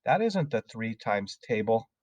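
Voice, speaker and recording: A man's voice: on the low side, big pitch swings, unhurried, a little mellow, no echo. A man voicing a frightened, flustered young schoolboy in a storybook, pleading in a plaintive way.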